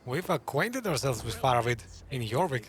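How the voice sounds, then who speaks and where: Lively male gamer commentating casually. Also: mid-range voice, moderate pace. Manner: musing, reacts aloud. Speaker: gaming YouTuber. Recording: noisy game audio behind the voice.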